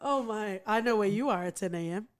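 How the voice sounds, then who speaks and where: A woman co-hosting a podcast, chatty and quick to laugh, who swears casually. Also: mid-range voice. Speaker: podcast co-host. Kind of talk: casual chat.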